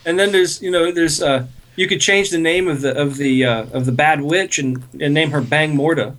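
A man's voice: on the high side, normal speed, a bit bright. A man from Pittsburgh co-hosting a podcast with casual, rambling comedic banter. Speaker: podcast co-host.

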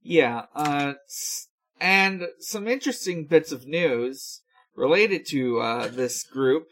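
A podcast co-host casually relaying news in a hesitant, halting way. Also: mid-range voice, animated, fairly bright tone.

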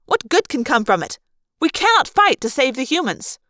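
A woman's voice: mid-range voice, moderate pace, fairly bright tone, no echo, clean studio sound, lightly acted. A woman voicing a stern elderly female android who speaks firmly and with indignation. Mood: heated. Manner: firm, heated, indignant.

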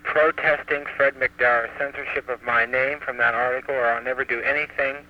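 A man of middling pitch speaks into a telephone, leaving a message. The old answering-machine tape is dull and lo-fi, with faint noise behind him. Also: steady tone, a little fast. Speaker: caller leaving phone messages. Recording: muffled, noisy archival answering-machine tape.

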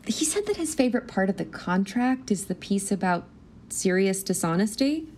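A woman's voice: medium pitch, animated, moderate pace, a little mellow, slight background noise, natural voice. A woman in her thirties playing an anxious, self-doubting new schoolteacher with a wry edge, in a naturalistic audio drama. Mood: uneasy. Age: 30s. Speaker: actor in an audio play.

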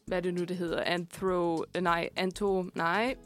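A woman's voice: lowish voice, level tone, soft background music. A young adult woman co-hosting casual student radio, talking in a rambling, playful, informal way in a studio. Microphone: studio microphone.

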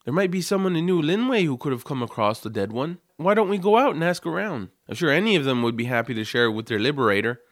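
An actor playing an upbeat, eager young adventurer, cheerful and coaxing, in a family audio drama. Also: male voice, on the high side, animated, fast, hardly any pauses, dry recording, clean studio sound. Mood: curious.

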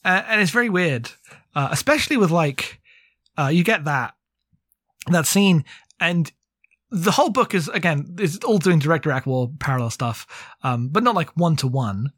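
Man, higher-pitched voice, close dry sound; opinionated, meandering podcast chat with plenty of filler "like" and swearing. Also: lively intonation, moderate pace, a bit bright, dry recording. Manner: rambling, heavy use of "like". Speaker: podcast co-host. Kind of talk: casual book discussion.

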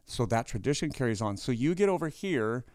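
A man's voice: medium pitch, sing-song, fairly quick, no echo. Chatty middle-aged man hosting an interview. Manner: chatty, warm-humored, many restarts. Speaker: pastor and host. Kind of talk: casual interview conversation.

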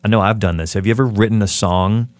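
A man interviewing a fellow musician in relaxed, talkative chat, asking long questions. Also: on the low side, fast, dry recording.

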